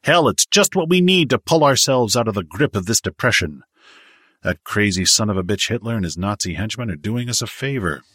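A male narrator plays a rich, domineering businessman from a period novel. He speaks in a forceful, blustering way, certain he is right. The sound is dry and close. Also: fairly low pitch, expressive, a little fast, husky, no room sound, voice pushed up. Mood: emphatic, defiant. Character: brash, domineering wealthy businessman and father-in-law.

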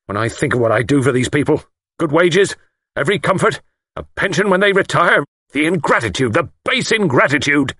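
A man's voice: fairly low pitch, lively intonation, moderate pace, fairly bright tone, slightly rough, dry recording, studio quality. A man voicing a pompous, easily outraged older English lord in an audiobook, spluttering with indignation and self-importance.

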